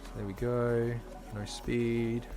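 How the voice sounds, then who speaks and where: A man talking casually while live-streaming a game, slightly hoarse, chatty and full of fillers, from a home streaming setup. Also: mid-range voice, little pitch movement, slow. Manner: chatty, filler-heavy, talks while playing. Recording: clear background music under voice.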